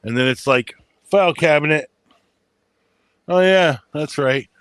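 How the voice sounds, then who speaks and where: A man's voice: on the high side, measured pace, a bit bright, clear, resonant tone, dry recording, clean studio sound. A man hosting a casual chat with friends, rambling through stories with lots of fillers and swearing, in a soothing voice. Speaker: channel host.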